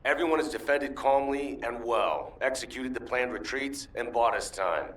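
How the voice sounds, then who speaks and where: An actor playing a steady, resolute front-line commander reporting in a video game. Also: male voice, medium pitch, moderate pace, distant mic, natural voice, low-quality recording. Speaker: military commander.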